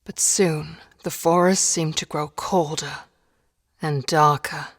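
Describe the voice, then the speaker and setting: A woman narrating a dark fairy tale for a video game in a measured, solemn storytelling manner. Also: low-pitched, steady tone. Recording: long reverberant hall-like echo.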